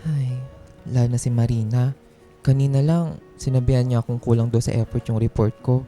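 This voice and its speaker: A man's voice: mid-range voice, little pitch movement, a little fast, mellow, close to an everyday voice. A Filipino man playing a stressed, plaintive young office worker confiding in a friend in a radio drama. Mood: weary, worried. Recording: music bed under dialogue.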